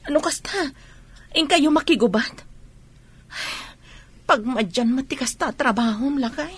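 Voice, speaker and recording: High, husky worried wife. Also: female voice, animated, measured pace, exaggerated voice. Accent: northern Philippine. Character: worried, devoted wife of a soldier. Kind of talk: radio drama dialogue.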